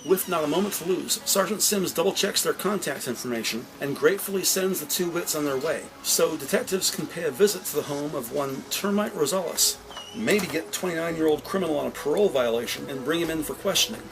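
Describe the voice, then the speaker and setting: A man's voice: fairly high pitch, brisk, gravelly, music in the background, poor audio. A man narrating a scripted crime drama as a dramatic, detail-heavy storyteller.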